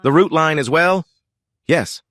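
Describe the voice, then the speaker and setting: A man's voice: a little high, measured pace, fairly bright tone, no echo, pitched above the performer's own voice. A man voicing a stern male investigator who asks formal, clipped questions.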